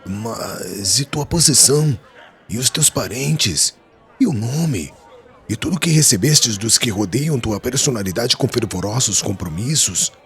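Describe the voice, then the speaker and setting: An actor playing a proud, offended Pharisee in a dramatised audiobook, haughty and incredulous, arguing in rhetorical questions. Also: male voice, medium pitch, expressive, a little slow, a bit bright. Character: proud, offended Pharisee, scornful former comrade of a convert.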